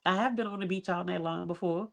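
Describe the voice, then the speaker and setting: A woman's voice: fairly low pitch, steady tone, fast talker, creaky. A woman with a nasal voice chats as a podcast co-host. She talks in a loose, self-deprecating way and laughs at herself easily. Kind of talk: casual chat.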